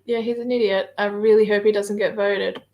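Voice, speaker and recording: A woman's voice: mid-range voice, level tone, a little fast, mellow. Hesitant Australian woman chatting on a livestream. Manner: hesitant, many filler words, answers viewer comments. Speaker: livestream guest reading viewer chat. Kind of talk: casual livestream chat.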